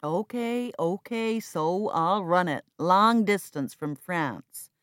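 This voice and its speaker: A woman's voice: fairly low pitch, expressive, takes it slowly, no echo, clean studio sound, pitched above the performer's own voice. Woman voicing a cold, bitter, sardonic male heir. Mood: grudging, bitter. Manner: detached, sardonic, dismissive. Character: cold, flippant, bitter heir, adult son.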